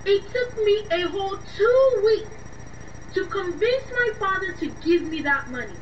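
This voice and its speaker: A woman's voice: very high voice, animated, a little slow. An actress playing a panicky, pleading young woman in a radio drama, with a Nigerian accent and breathless, repetitive delivery. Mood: accusing. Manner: breathless, pleading, repeating herself. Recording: muffled distant mic with faint noise.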